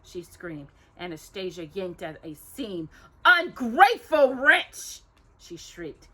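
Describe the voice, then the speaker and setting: A woman hosting storytime, reading a children's story aloud with expressive, dramatic emphasis on descriptive words. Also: fairly low pitch, a little slow, breathy edge.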